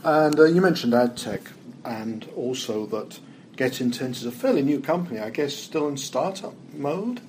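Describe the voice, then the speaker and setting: A man's voice: mid-range voice, animated, normal speed, darkish tone, rough recording. Interviewer, male host, easygoing prompts, slight background noise. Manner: friendly, brief prompts and backchannels. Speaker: podcast host. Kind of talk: podcast interview.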